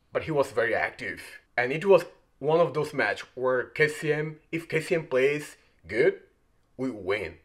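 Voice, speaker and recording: A man in his thirties hosting a football fan channel, talking straight to the camera from a room at home, earnest and gesturing as he talks. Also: medium pitch. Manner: earnest, gestures while talking, frequent fillers and restarts. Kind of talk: post-match reaction vlog. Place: indoor room by a window with blinds.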